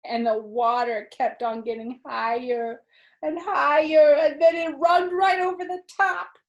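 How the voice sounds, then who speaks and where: A woman voicing an excitable, mischievous little girl who tells her story in dramatic childlike speech from a storybook. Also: high-pitched, a little slow, highs cut off, pitched above the performer's own voice. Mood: alarmed. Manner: dramatic, childlike grammar, blunt and eager. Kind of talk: expressive children's storybook reading.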